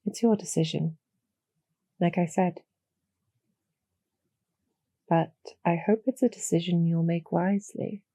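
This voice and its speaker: A woman voices a calm demon general from an audio drama, loyal to a queen and gently persuasive. The voice is low-set and mellow, with slow, deliberate phrasing and formal manners. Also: slightly rough, no room sound, full character voice. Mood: gentle, formal. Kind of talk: scripted roleplay audio drama.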